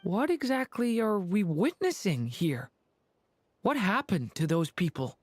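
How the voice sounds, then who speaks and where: A woman voicing an earnest, gentle young boy in a video game, polite and hesitant. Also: medium pitch, sing-song, a little slow, dry recording, soft background music. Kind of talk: video game character acting.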